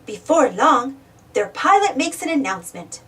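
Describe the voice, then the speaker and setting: Very high, animated woman storyteller. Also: moderate pace, distant mic. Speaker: storybook reader, channel host. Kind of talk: children's read-aloud narration.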